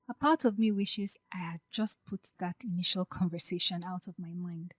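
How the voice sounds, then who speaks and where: A woman playing an anxious, hurt young bride-to-be in an audio drama, speaking softly and hesitantly as she confides her doubts. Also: mid-range voice, no room sound. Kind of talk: acted audio drama dialogue.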